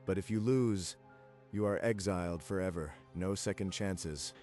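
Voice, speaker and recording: A man's voice: deep voice, a little slow, a little mellow. A man voicing a hostile male academy council member who speaks harshly and accusingly. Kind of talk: manga dub voice acting. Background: faint music.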